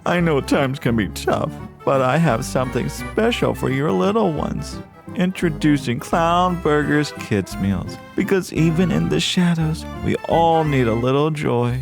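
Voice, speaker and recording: A man voicing a creepy, mournful clown mascot with slow, sinister sweetness in a parody radio commercial. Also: on the high side, expressive, creaky, over music, voice pushed up. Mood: creepy cheer. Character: creepy sad clown mascot, mournful yet cheery pitchman.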